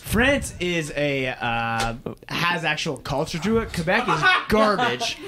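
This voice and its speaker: High-voiced young man joking among a crowd. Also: very animated, takes it slowly. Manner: joking, swearing, blunt. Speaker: friend. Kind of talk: casual party chat. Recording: noisy party crowd behind. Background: crowd chatter.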